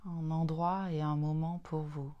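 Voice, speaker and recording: A woman leading a guided yoga nidra relaxation in a soothing, unhurried manner, in short phrases separated by long pauses. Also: low-pitched, little pitch movement, mellow, clean tone, lo-fi sound.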